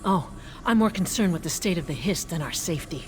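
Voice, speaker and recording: A woman playing an aging lizardfolk officer in a fantasy game, solemn and formal with dry wit, speaking in measured phrases. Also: fairly low pitch, expressive, slight background noise, close to an everyday voice. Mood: wondering. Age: older. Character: aging female lizardfolk officer, solemn, reverent, wryly joking. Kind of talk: fantasy game character acting.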